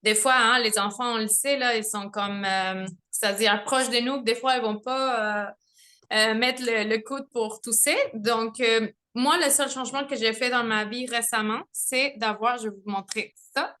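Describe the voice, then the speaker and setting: Bright-voiced young mother, Mexican-born. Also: a little high, steady tone, normal speed. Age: young adult. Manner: chatty, laughing, many fillers and restarts. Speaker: testimonial speaker. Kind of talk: casual spoken testimony. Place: home, with children nearby.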